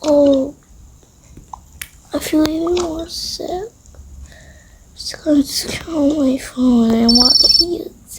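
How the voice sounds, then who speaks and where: A woman's voice: sits high, takes it slowly, pauses often, background noise. A female voice improvising a roleplay with toy game characters, slangy and drawling, with sighs. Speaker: roleplay video creator voicing toy characters.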